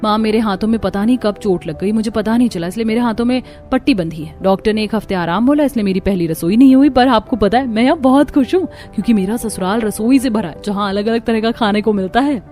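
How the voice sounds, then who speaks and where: A woman's voice: medium pitch, quick pace, few pauses, mellow, soft background music, lightly acted. A woman voicing a polite, earnest young newlywed daughter-in-law in a cartoon. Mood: cheerful.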